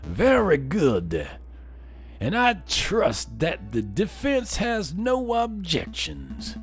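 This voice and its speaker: A man voicing a pompous, smug older baron in a courtroom game, speaking in a grandiose, drawn-out, theatrical way. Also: on the high side, wildly swooping pitch, faint music behind, voice pushed up, heavily acted. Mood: haughty, smug.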